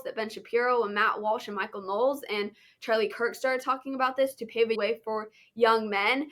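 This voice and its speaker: A woman's voice: fairly high pitch, fairly bright tone. A young American woman, a podcast guest, giving her opinions in an earnest, emphatic way. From United States. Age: teens. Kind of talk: podcast interview.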